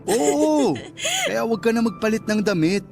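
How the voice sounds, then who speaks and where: An actor playing a smooth-talking, indulgent restaurant owner courting his lover in a radio drama, casual and coaxing. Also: high-pitched, expressive, unhurried, darkish tone, heavily acted. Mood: flirtatious, playful. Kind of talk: Tagalog radio drama dialogue. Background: background music.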